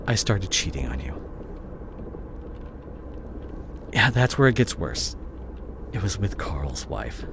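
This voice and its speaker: Casting: male actor as fallen CEO and unfaithful boyfriend for audio drama; lowish voice, very changeable intonation, anguished and halting. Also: full character voice. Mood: ashamed confessing. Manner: halting, emotional, repeats and breaks off words. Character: disgraced CEO boyfriend, anguished, guilt-ridden, confessing his cheating. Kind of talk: dramatic audio roleplay monologue addressed to the listener. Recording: clear vehicle noise behind the voice. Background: car ambience.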